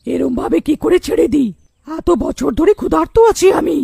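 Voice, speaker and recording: A woman voicing a hungry, menacing old witch in a cartoon, with a croaking voice and taunting manner. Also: sits high, normal speed, dark tone, no room sound, clean studio sound. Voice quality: creaky, croaking. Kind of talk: cartoon villain voice acting.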